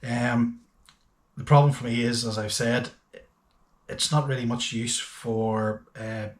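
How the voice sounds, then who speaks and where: Casting: male reviewer, mid-pitched, chatty and matter-of-fact with hesitant phrasing, recorded in a roomy, echoey space. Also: steady tone, takes it slowly. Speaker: host of a boating gadget review channel. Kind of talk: casual unscripted product review.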